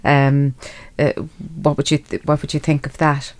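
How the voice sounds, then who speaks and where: Low-voiced woman interviewer. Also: level tone, normal speed, darkish tone. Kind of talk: radio interview.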